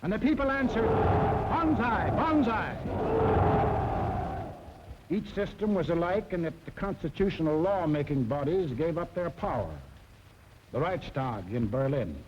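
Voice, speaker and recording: A man's voice: a little high, lively intonation, measured pace, long pauses, slight background noise. An American man narrating a scripted 1940s propaganda film in an emphatic, dramatic way. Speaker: documentary narrator. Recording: dull 1940s film soundtrack, loud music.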